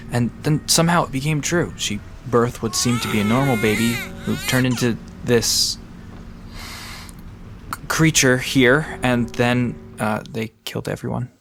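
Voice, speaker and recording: A woman's voice: rumbling low, normal speed, noisy background. A woman playing an easygoing, knowledgeable park guide in an audio drama, casual and reassuring as they explain things. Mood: explanatory.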